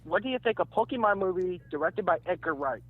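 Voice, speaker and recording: A man's voice: high voice, lively intonation, fast talker. A man calling in to a show, chatting casually over a muffled lo-fi line. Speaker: caller. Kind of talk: casual call-in chat.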